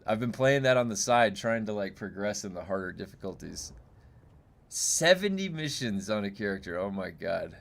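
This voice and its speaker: Loud-voiced man rambling on a stream. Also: expressive, normal speed, gravelly. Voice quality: loud booming voice. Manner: rambling, loose and profane, many fillers. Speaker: game streamer. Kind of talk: casual live-stream chat. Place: home room.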